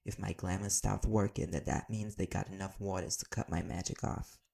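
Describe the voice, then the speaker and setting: A man's voice: low voice, steady tone, moderate pace, gravelly. A man playing a sarcastic, irritable private eye with magic in an audio roleplay, terse and exasperated. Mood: tense urgency. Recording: very noisy audio with clicking.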